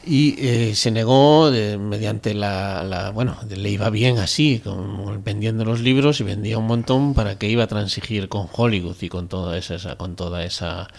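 A man's voice: fairly low pitch, fairly even intonation, moderate pace, creaky, few pauses, darkish tone. A man co-hosting a casual book discussion, hesitant and often correcting himself as he searches for words.

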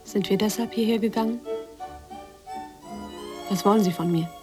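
A woman's voice: mid-range voice, moderate pace, music in the background, close to an everyday voice. An actress in a radio drama playing a wary, defiant woman, guarded and curt toward someone she distrusts. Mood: fearful defiance. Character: wary, defiant woman confronting a former oppressor. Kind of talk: German radio drama acting.

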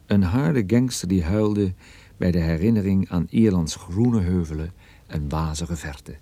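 Low, dry-voiced private detective. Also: male voice, a little slow, dark tone, no room sound. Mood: wry, reflective. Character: male private detective narrating his own case, wry and sardonic. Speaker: lead actor and narrator of a radio play. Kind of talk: radio drama, scripted first-person detective narration.

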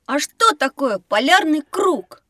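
A high, very animated little boy's voice, recorded dry. He is an inquisitive, chatty pupil in an educational audio play, speaking in a childlike way. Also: takes it slowly, no echo, exaggerated voice. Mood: curious. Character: curious, talkative little boy pupil.

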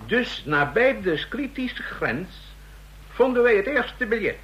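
Lecturing money expert, raspy gravelly voice, bright, lively pitch, radio play. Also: male voice, slow, muffled recording, heavily acted. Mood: insistent. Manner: explanatory, insistent. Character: male counterfeiting expert, lecturing, insistent. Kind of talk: radio drama acting.

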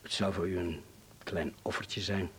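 An actor playing a courteous, grave man in hiding in a Dutch radio drama, speaking formally and deliberately with a Netherlands Dutch accent. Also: sits low, fairly even intonation, fairly bright tone, slight background noise. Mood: delicate, persuasive.

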